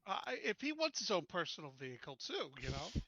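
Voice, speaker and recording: A man's voice: high voice, big pitch swings, fast talker, bright, forceful tone, dry recording, dull audio. A man chatting casually and informally as a player in an online tabletop game. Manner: informal, often says "dude".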